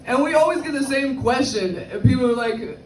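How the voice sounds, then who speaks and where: A woman from the South Bronx with a Bronx accent and a deep, slightly cracked voice, doing a brash, crude stand-up set on a club stage. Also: expressive, normal speed, background noise. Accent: Bronx New York. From South Bronx, New York. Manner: brash, crude, self-mocking punchlines. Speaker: stand-up comedian. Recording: echoey club, far from the mic. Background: audience laughter.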